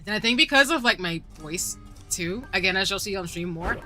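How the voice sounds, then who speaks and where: A young woman with a Southern drawl chats on a gaming stream, giggling often and rambling through filler words. Her voice is bright and bouncy, leaping between high and low notes, with faint music playing behind her. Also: expressive, fairly quick. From southern United States. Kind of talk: casual live-stream chat while gaming. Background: faint background music.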